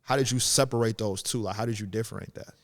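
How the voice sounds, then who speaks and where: A young man hosting a podcast, introducing a guest and asking questions with an energetic, hyped manner. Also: lowish voice, quick pace, vocal fry. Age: young adult. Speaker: podcast host. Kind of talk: casual interview chat.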